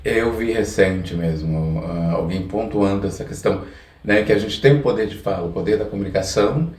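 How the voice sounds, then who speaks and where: A man's voice: lowish voice, moderate pace, darkish tone. A Brazilian man hosting a casual podcast interview, phrasing things tentatively with frequent check-in tags. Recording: echoey room, distant from the mic.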